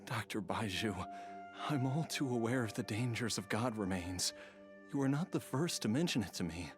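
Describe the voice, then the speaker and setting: A man playing a dying, devoted husband and father in a video game, resigned and grateful, speaking earnestly with halting sighs. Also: medium pitch, natural voice. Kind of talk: dramatic video game voice acting. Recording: music playing behind the voice.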